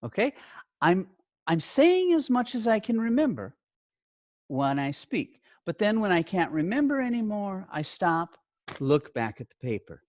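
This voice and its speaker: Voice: mid-range voice, sing-song, measured pace, dry recording. A language teacher giving step-by-step activity instructions in short, simple sentences with check questions.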